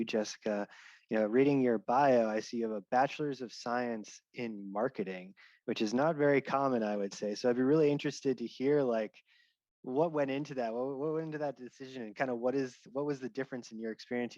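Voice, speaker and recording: A man's voice: medium pitch, no echo. Laid-back man moderating on a dull line. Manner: relaxed, hedging questions with fillers. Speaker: panel moderator. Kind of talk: moderating an online panel. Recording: dry, dull video-call audio.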